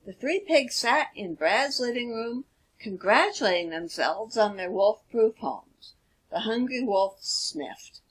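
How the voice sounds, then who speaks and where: A woman narrating a children's storybook expressively, with deliberate, dramatic emphasis. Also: a little high, expressive, breathy edge, low-quality recording. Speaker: author reading own children's book.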